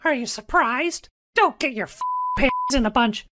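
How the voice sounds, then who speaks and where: A performer voicing a gleefully mean male cartoon mouse crime boss in a parody radio drama, squeaky, giggly and taunting. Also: huge pitch swings, moderate pace, pushed and bright, heavily acted. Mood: sly, teasing. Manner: mocking, taunting.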